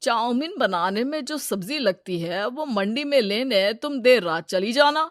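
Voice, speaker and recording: A woman voicing a greedy, scheming male village moneylender, loud and brash in a cartoon story. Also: on the high side, expressive, unhurried, dry recording, studio quality.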